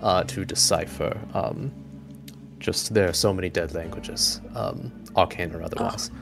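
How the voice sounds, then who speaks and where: A man voicing an absorbed, hesitant bugbear anthropologist with a polite, scholarly, halting manner in a tabletop game. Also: sits low, creaky, over music. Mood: earnest, halting.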